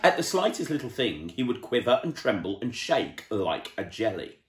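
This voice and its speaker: Male children's entertainer: storybook narration, highly varied intonation, audible room echo. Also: very animated, moderate pace. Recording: roomy, echoey indoor sound.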